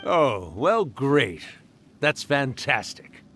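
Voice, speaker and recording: A man's voice: mid-range voice, very animated, very slow, fairly bright tone, a little hiss or hum, exaggerated voice. A man voicing an ancient, genial spirit storyteller in a video game, musing and theatrical in manner. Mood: warmly jovial.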